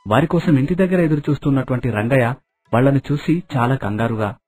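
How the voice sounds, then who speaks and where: Husky man narrating a story over music. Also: medium pitch, quick pace, darkish tone.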